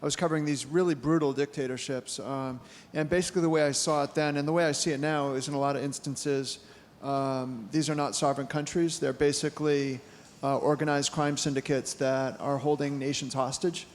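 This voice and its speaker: A man's voice: medium pitch, steady tone, a little fast. A man of about fifty, a photojournalist answering audience questions in a candid, hesitant way, with many fillers and restarts. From United States. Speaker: photojournalist speaker. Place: brewery event hall. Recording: long reverb in a brewery hall, faint room noise.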